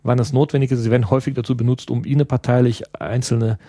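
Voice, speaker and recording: A man from Russia gives an interview in a language he learned later, explaining things earnestly and pausing to find the right words. His voice is mellow and of middle pitch. The recording is dry and close, with no room sound. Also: level tone, dry recording. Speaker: interview guest, party activist.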